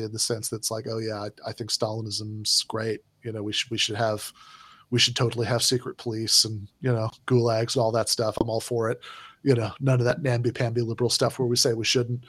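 A man's voice: mid-range voice, fairly bright tone, dry recording. Dry-sounding man rambling through politics talk. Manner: rambling, many fillers and restarts. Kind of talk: casual political discussion.

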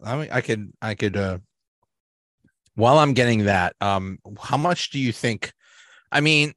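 A man hosting a sports podcast in casual chat, reacting with drawn-out exclamations. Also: medium pitch, dry recording. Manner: slow, lively intonation, reacts with drawn-out exclamations. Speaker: podcast host.

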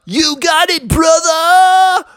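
A woman's voice: extremely high pitch, drawn-out words, fairly bright tone, dry recording, raised voice, clean studio sound, pitched above the performer's own voice, full character voice. A woman voicing an upbeat, easygoing male eagle in a children's story, calling out heartily like a loyal buddy.

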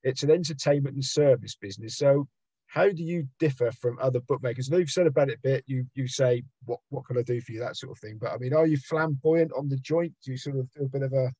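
A man interviewing casually, often halting and rephrasing his questions. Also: mid-range voice, mellow, slightly rough, no echo.

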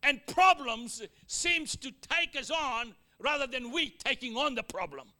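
High, bright-voiced Fijian preacher. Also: male voice, animated, a little slow. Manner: exhorting, with frequent amens and call-outs to the congregation; joking asides, wide swings from loud to quiet. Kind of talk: sermon.

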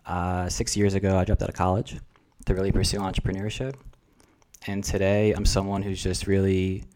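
A man's voice: sits low, completely flat delivery, fairly quick, darkish tone, a little hiss or hum. A man in his twenties from New Jersey, whose first language is Russian, talking in a rambling, reflective way as a podcast guest. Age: 20s. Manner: reflective, rambling, filler-heavy. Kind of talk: casual chat.

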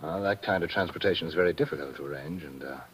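A shifty travel agent in an old radio drama. His voice sits very low, evasive and cagey, on a faintly noisy vintage recording. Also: fast. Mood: smooth, cagey.

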